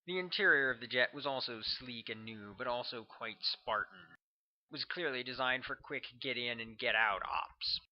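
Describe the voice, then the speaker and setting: A man's voice: medium pitch, lively intonation, normal speed. A man narrates a thriller audiobook in a mid-range voice. He reads scripted prose as the author of the book. The recording is dull and lo-fi. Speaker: author reading own audiobook.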